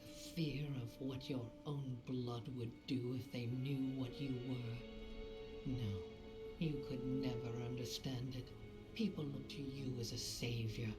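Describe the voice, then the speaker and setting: Low-voiced, haunted, brooding noblewoman. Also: steady tone, a little slow. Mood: bitter, accusing. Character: haunted, bitter noblewoman's daughter, a secret witch. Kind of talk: dramatic scripted game monologue. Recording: loud music behind the voice. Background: loud game music.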